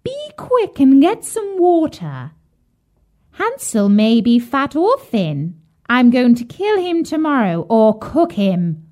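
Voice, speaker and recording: Fairy-tale witch, performed by a female reader: old, jeering, theatrical, with big swoops in pitch and stretched-out words. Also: big pitch swings, warm tone, exaggerated voice. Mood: impatient, menacing. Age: elderly. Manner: theatrical, drawn-out, scolding delivery. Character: wicked old witch, jeering, bossy, falsely sweet. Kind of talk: fairy-tale storybook character voice.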